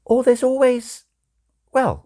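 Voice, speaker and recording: Man putting on a high, tentative nun. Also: steady tone, slow pace, dark tone. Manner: tentative, coaxing. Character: Sister Mary, tactful, eager-to-please woman, a nun.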